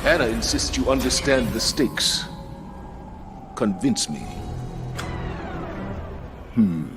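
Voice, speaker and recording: An actor playing a stern, solemn veteran military commander in a video game, speaking in a grave, measured, formal way. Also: male voice, mid-range voice, animated. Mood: suspicious. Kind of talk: scripted video game cutscene acting. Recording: dramatic music behind the voice.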